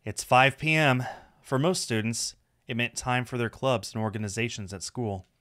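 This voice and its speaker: A man streaming a visual novel, reading the story aloud as expressive scripted narration. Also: medium pitch, normal speed, dry recording, studio quality.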